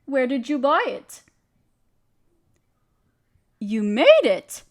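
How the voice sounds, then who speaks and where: A woman's voice: high-pitched, sing-song, drawn-out words, exaggerated voice. A woman playing a friendly, genteel witch who chats kindly in quaint, dainty phrases, in an audio roleplay. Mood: warm, admiring. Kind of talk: scripted audio roleplay speaking to the listener.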